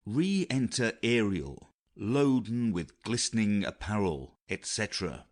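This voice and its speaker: A man's voice: on the low side, big pitch swings, takes it slowly. A man narrating, reading out stage directions in a scripted audiobook style.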